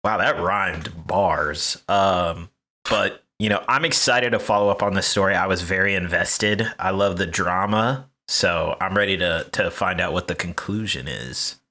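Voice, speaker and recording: A man co-hosting a casual podcast chat, jokey and quick to laugh. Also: low voice, sharp tone. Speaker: podcast co-host.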